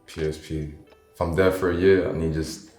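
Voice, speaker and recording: Very deep young man from East London. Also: steady tone, normal speed, darkish tone. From Hackney, East London. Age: 20s. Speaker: interviewee. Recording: roomy echo, faint music.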